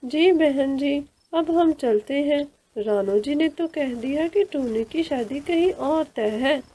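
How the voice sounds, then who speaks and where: Cartoon matchmaker bird female actor: polite, proud older aunt, high soft-toned voice, measured delivery. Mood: polite, resigned. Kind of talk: Hindi children's cartoon dialogue.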